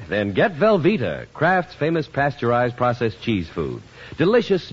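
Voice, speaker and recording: A man's voice: on the high side, husky. A man announcing on 1950s radio, reading scripted program notes and sponsor copy in a polished, emphatic way. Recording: dull vintage radio, faint music.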